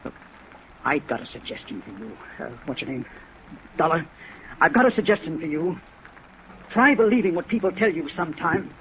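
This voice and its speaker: Defensive, higher-voiced radio boyfriend. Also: male voice, animated, normal speed, long pauses, a bit bright, low-quality recording. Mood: indignant. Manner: indignant, guarded. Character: stubborn, defensive actor, a smitten boyfriend under questioning. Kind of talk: 1950s radio drama acting. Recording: old radio recording with rain noise.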